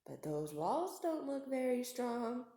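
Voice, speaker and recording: A woman's voice: on the high side, sing-song, takes it slowly, mellow, exaggerated voice. A woman voicing a big, hungry, scheming male shark for a storybook, drawing out the words with relish. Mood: sly, hungry. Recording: echoey room.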